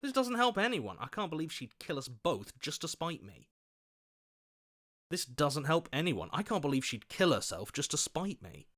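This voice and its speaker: A man voicing a scheming, flattering, self-serving opportunist in a video game, with a smarmy, wheedling, theatrical delivery. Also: a little high, normal speed. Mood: peevish. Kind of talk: video game character voice lines.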